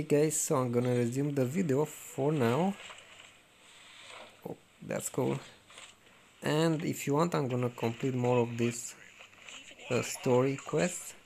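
A man's voice: mid-range voice, vocal fry, a little mellow, a little hiss or hum, low-quality recording. A man giving casual gameplay commentary as a video host, with brief offhand reactions.

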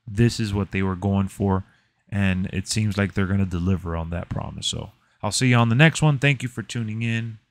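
A man's voice: lowish voice, moderate pace, no echo. A man in his thirties talks to viewers in a casual, emphatic way, like a host reacting to gaming news. He leans on his points conversationally. The sound is close and dry, with very little room in it. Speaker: gaming and wrestling video host. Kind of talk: casual reaction commentary to camera.

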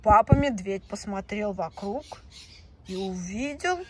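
A woman telling a children's fairy tale in a slow, expressive, sing-song way. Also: mid-range voice, a little hiss or hum. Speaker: storyteller. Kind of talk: children's story narration with paper puppets. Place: indoors, tabletop on green felt.

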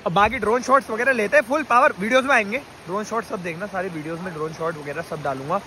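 A man's voice: sits high, fast, pushed and bright. A man in his twenties vlogging casually to a phone held at arm's length, outdoors by a river and later in a car. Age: 20s. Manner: chatty, talks straight to camera. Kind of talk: casual vlog narration. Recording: noisy outdoor phone audio.

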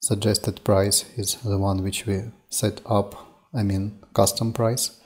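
Slow, flat-voiced man walking through software. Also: fairly low pitch. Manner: unhurried, stepwise, with frequent "uh" and "um". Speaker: software demo presenter. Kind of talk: screen-recorded software tutorial.

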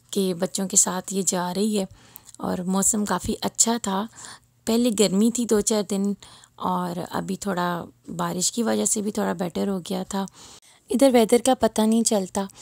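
A woman's voice: mid-range voice, vocal fry, darkish tone, no room sound. A woman in her thirties with a Pakistani Urdu accent, casually narrating her daily routine as a mother in an easygoing, rambling way, with a slightly sore throat. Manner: easygoing, chatty, rambling with fillers. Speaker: vlogger and mother.

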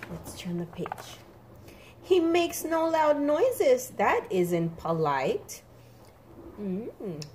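A woman reading a picture book aloud to young children in an expressive, sing-song, emphatic way. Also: on the high side, very slow, a little hiss or hum. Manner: animated, emphatic, sing-song with repeated words for small children.

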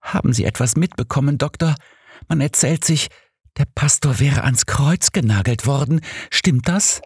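A man's voice: medium pitch, normal speed, slightly rough, no room sound, clean studio sound, voice pushed up. A man voicing a distraught, tearful landlady in an audiobook, sobbing and whimpering. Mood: anxious, prying. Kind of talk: audiobook character acting.

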